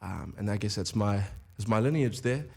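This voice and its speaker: A man in his twenties from New Zealand with a New Zealand accent, answering interview questions about his music in a relaxed way in a studio. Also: on the low side, normal speed, creaky, husky. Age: 20s. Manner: relaxed, with frequent "uh" fillers. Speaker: musician guest. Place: radio studio. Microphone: studio microphone with headphones.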